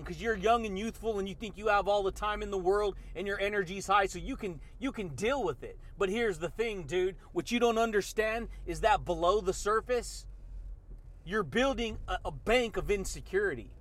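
A man's voice: sits high, fairly bright tone. A man hosting a motivational podcast, talking in a hyped, slangy way and calling the listener dude and baby. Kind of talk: energetic motivational monologue.

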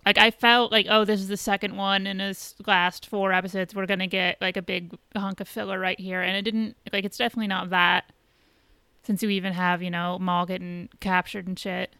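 A woman's voice: mid-range voice, little pitch movement, fairly quick, bright, forceful tone, smooth, no room sound. A woman co-hosting a podcast in casual conversation, blunt and informal, with some swearing. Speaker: podcast co-host. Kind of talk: casual chat reviewing a TV episode.

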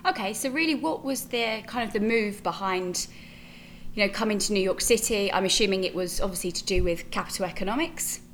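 A woman's voice: mid-range voice, a little fast. British woman, podcast host, rambling questions, faint hum. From Britain. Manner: loose, rambling questions with fillers. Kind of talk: podcast interview hosting.

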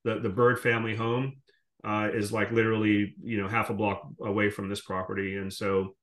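A man's voice: on the low side, level tone, normal speed, fairly bright tone. A man from the Caribbean speaking as an interview guest, explaining his business in a detailed, conversational way. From Caribbean, born in Guyana and raised in Jamaica. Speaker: podcast guest, short-term rental investor. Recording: roomy, echoing sound.

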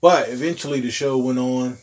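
A man with a hoarse, recovering voice hosting a casual solo podcast, rambling along in a laid-back way. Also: mid-range voice, moderate pace. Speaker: podcast host.

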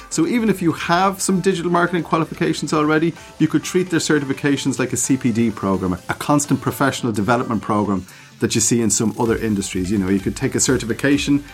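Man, podcast host, mid-pitched, friendly and conversational, faint music in the background. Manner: promotional, list-reading, conversational. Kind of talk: podcast intro and interview.

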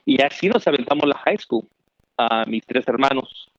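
A middle-aged Mexican man telling his story as an interview guest, animated and chatty with many fillers. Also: mid-range voice, normal speed, no echo. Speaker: guest, founder. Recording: dry, dull remote call audio.

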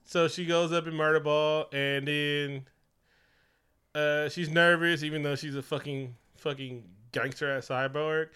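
A man with a bright, crisp, slightly high voice recaps a movie for comic effect. He talks in an animated, profane way and slips into the characters as he goes. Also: measured pace. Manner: animated, profane, acts out scenes. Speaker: podcast co-host. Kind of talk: comedic movie recap chat.

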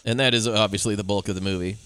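A man's voice: lowish voice, fairly even intonation, racing, a bit bright, smooth, dry recording. A man co-hosting a casual film podcast, riffing emphatically and swearing casually. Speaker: podcast co-host.

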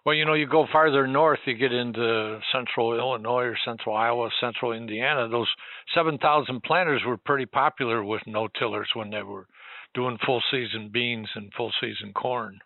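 A man in his eighties with a strongly creaky, gravelly voice at a medium pitch. He speaks plainly and conversationally as an interviewer who also reminisces. The sound is dry and close. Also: fairly even intonation, normal speed, no echo, narrow, tinny audio. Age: 80s.

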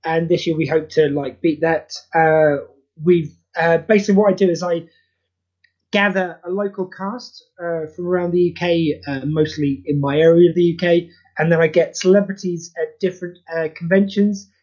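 A man's voice: fairly high pitch, fairly even intonation, normal speed, darkish tone. Hesitant man from the UK, dull audio. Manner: hesitant, many fillers, self-mocking. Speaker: guest, audio drama writer. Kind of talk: casual chat.

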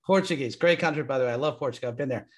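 A man's voice: mid-range voice, racing. A man hosting a podcast, upbeat and enthusiastic, introducing and questioning a guest. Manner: upbeat, enthusiastic, frequent ums. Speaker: podcast host. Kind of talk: casual interview hosting.

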